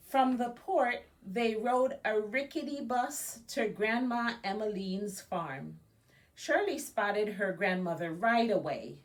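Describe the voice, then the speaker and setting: A woman from Jamaica, an education nonprofit director, reading aloud for a children's story time with informal asides. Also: fairly high pitch.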